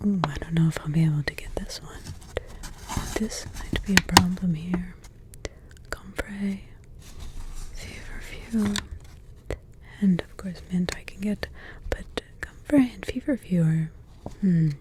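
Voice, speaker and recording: A woman voicing an old forest witch, a grumbling yet doting mother and grandmother, in rambling, halting whispers full of fillers. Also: fairly low pitch, heavy background noise, close to an everyday voice. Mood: hushed musing. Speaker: ASMR roleplay creator. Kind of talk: ASMR roleplay whispering.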